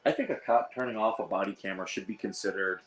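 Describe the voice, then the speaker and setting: A hoarse man hosting a casual livestream, rambling with lots of fillers and reading out the chat. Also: fairly low pitch, fast talker, fairly bright tone, soft background music. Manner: rambling, reads chat aloud, frequent fillers. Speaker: host of a veterans livestream. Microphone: iPad.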